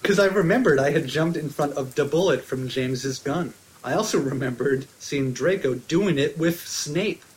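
A man's voice: a little high, moderate pace, few pauses, slight background noise, rough recording. A man on a podcast giving a comedic dramatic reading of fan fiction.

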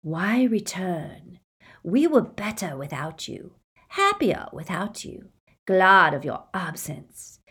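A woman playing a malicious male double in a storybook, taunting with slow, drawn-out sneers. The voice sounds distant and quiet, low in pitch. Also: sing-song, husky, exaggerated voice. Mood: sneering.

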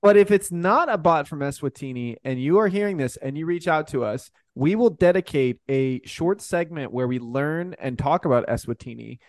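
Higher-voiced man in casual podcast banter. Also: lively intonation, normal speed, few pauses, no room sound. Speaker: podcast host.

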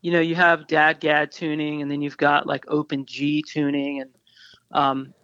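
High-voiced man in his fifties. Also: completely flat delivery, normal speed, pushed and bright, clear, resonant tone, dry recording, dull audio. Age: 50s. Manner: rambling, many restarts and fillers. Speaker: guest musician. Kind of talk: casual interview about guitars.

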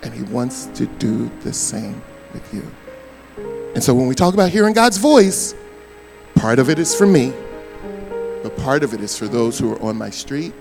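Hoarse older man preaching animatedly. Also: mid-range voice, moderate pace, long pauses, over music. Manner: animated preaching with sudden loud and soft swings. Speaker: pastor. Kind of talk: sermon.

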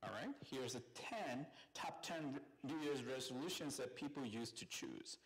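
A man's voice: medium pitch, animated, unhurried, low-quality recording. A man with a Korean accent, a native Korean speaker, preaching a conversational sermon as a site pastor in a church. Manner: friendly and conversational, with call-and-response. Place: church sanctuary.

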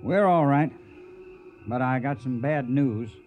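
An actor playing a tough, decisive, plain-spoken cattle-drive trail boss in a western radio drama. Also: male voice, mid-range voice, slow, narrow, tinny audio, lightly acted. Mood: grave.

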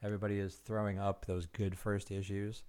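Low-voiced, easygoing male podcast co-host. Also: flat delivery, a little fast, a little mellow, clear, resonant tone. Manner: easygoing, joking, filler-heavy. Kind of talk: casual chat.

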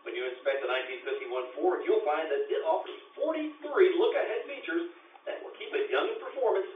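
An actor playing an earnest announcer reading a car advertisement in 1950s radio style. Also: male voice, high-pitched, fairly quick, slight background noise, lightly acted. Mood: earnest, promotional. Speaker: commercial announcer. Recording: tinny, echoey, distant old radio sound.

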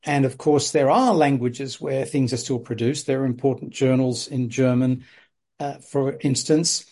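A man hosts a conversational academic interview. His voice is mellow and dark at an ordinary middle pitch. He talks in long, articulate, discursive stretches. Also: steady tone, a little slow. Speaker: interviewer host.

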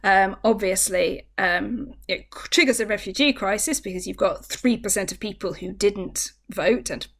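A woman co-hosting a podcast, speaking in a thoughtful, measured way with frequent hesitations. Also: medium pitch, a bit bright. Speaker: podcast co-host. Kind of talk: casual podcast discussion.

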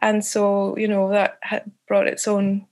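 A Scottish woman answering interview questions thoughtfully, pausing often with fillers and restarting phrases. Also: mid-range voice, completely flat delivery, a little mellow. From Scotland. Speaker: author guest.

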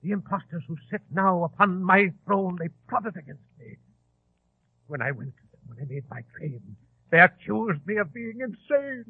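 A grandiose, unhinged pretender in a vintage radio drama. The high voice is creaky and husky, agitated and given to ranting repetition. The sound is narrow, like an old broadcast. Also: male voice, no room sound, full character voice. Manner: agitated, repeats himself, ranting. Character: deranged English pretender to the throne, nervous, vain, grandiose. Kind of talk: 1940s radio acting. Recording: tinny, band-limited old radio broadcast.

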